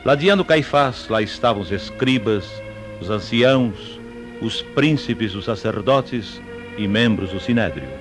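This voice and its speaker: Low-voiced man narrating solemnly over music. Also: lively intonation, measured pace. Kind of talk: solemn scripted narration of a Bible story.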